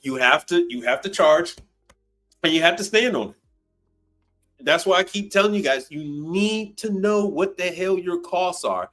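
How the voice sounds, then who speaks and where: A man's voice: high voice, lively intonation, unhurried, fairly bright tone, soft background music. A man in his fifties hosting an unscripted livestream, talking bluntly and emphatically, repeating phrases and swearing freely. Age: 50s. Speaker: livestream host, commercial appliance service technician. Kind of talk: unscripted livestream talk answering viewer questions.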